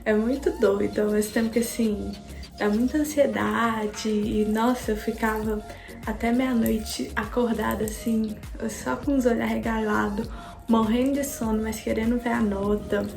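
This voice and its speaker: A woman's voice: on the high side, vocal fry, darkish tone. A young woman vlogger chatting casually to her viewers, with frequent fillers. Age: young adult. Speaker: student vlogger. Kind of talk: casual vlog chat. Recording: echoey room, faint music.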